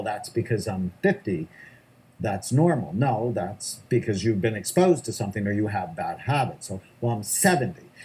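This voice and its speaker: Husky-voiced emphatic male psychiatrist. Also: lowish voice, darkish tone, poor audio. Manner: emphatic, repeats key points in lists. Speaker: psychiatrist guest. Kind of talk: interview answers.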